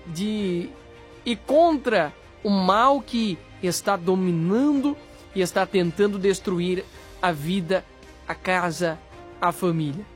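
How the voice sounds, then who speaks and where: A Brazilian man preaching an exhortation as a religious host, in solemn, deliberate phrases. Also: high-pitched. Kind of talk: sermon, exhortation. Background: background music.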